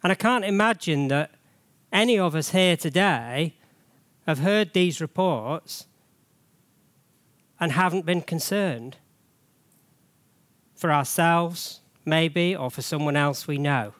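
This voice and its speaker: A man's voice: fairly high pitch, slow pace, pauses often, a bit bright, no echo. A man with a cold, losing his voice, giving a chatty, jokey church sermon full of rhetorical questions.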